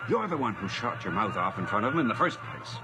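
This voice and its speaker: An actor playing a commanding, scheming corrupt police chief in a radio drama, speaking brusquely and taking charge. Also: male voice, fairly low pitch, big pitch swings, quick pace, music in the background. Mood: hushed, irritated. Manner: brusque, takes charge of others.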